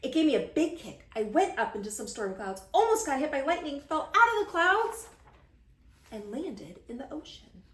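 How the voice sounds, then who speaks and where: Storybook grandpa played by a woman reader, dramatic tall-tale teller, highly varied pitch, roomy sound. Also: big pitch swings, moderate pace. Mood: dramatic storytelling. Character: unexcitable old grandpa spinning tall adventure tales. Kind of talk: children's picture book read-aloud.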